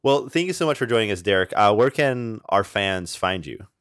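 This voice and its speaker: A man's voice: on the low side, expressive, normal speed, a bit bright, clean tone, no echo, clean studio sound. A man co-hosting a podcast, politely thanking and wrapping up with a guest. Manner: polite, wraps up the interview. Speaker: podcast co-host. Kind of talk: casual chat.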